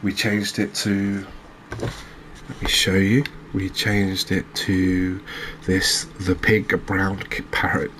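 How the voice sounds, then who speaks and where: A man giving gentle, unhurried ASMR commentary as he plays a game, pausing and hesitating as he goes. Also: sits low, completely flat delivery, background noise. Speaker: host of a gaming channel. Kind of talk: ASMR let's-play commentary.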